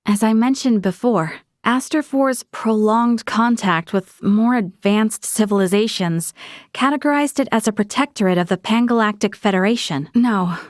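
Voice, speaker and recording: A woman's voice: mid-range voice, moderate pace, dry recording, clean studio sound, natural voice. A woman voicing a principled, stern young female military officer in a video game, with a formal, earnest delivery. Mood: grave, formal. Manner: formal, earnest, crisply articulate. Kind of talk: video game character voice acting.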